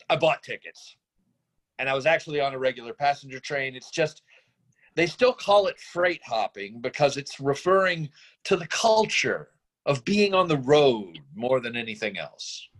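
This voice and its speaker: A man acting a middle-aged, free-spirited father in a staged screenplay reading, earnest, effusive and persuasive, with halting phrases. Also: mid-range voice, animated, a bit bright, low-quality recording. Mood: tentative, earnest.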